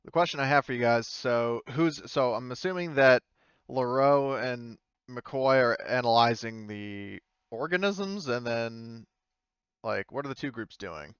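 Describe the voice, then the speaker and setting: A man's voice: mid-range voice, measured pace, fairly bright tone, smooth, no echo, lo-fi sound. A man speaking as game master, narrating off the cuff in a casual, accommodating and hesitant way. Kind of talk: improvised game narration and casual table talk.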